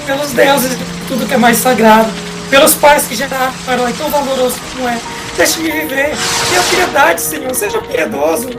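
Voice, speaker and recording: A woman's voice: sits high, animated, brisk, hardly any pauses, loud music behind the voice, poor audio. A woman playing a terrified warrior who begs an enemy for mercy, frantic and imploring, in an audio drama. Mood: frantic pleading.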